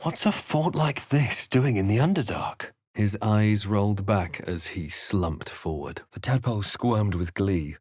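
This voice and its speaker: A brooding young adventurer from a fantasy video game. He speaks in a measured, dramatic but understated way, with wry gravity. The voice sits slightly low and the sound is very dry and close. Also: expressive, a little mellow, husky, no room sound. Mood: dry, curious. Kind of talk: video game character lines and narration.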